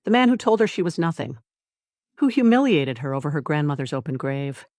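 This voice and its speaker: A narrator reading a scripted dramatic story in a measured, deliberate way, with short phrases and pauses. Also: medium pitch, darkish tone, no room sound, studio quality. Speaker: audiobook narrator.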